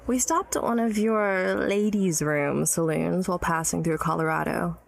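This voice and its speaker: A woman's voice: fairly low pitch, moderate pace, gravelly. An actress playing an educated, tipsy, wry woman of early-1900s New York in a period audio drama, teasing and guarded. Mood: wistful storytelling. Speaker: storyteller recalling her childhood.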